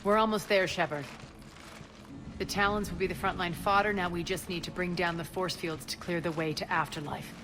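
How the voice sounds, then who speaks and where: A woman's voice: lowish voice, fairly even intonation, a little fast, over music, natural voice. An actress playing a ruthless, proud female crime boss in a video game, commanding, cold and imperious. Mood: cold, scheming.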